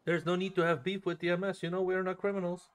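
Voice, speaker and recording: A man's voice: high voice, level tone, quick pace. A man streaming an online role-play game, chatting casually and telling stories with lots of swearing and repeated phrases. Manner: profane, chuckling, repeats himself. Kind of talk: casual in-game chat and storytelling.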